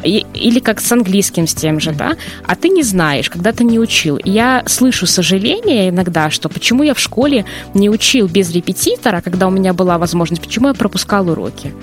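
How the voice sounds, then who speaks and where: A woman psychologist, giving earnest advice in casual conversation, often pausing with hesitations. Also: medium pitch, animated, soft background music. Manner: earnest, advising, with frequent hesitations. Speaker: psychologist guest. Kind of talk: casual talk show conversation.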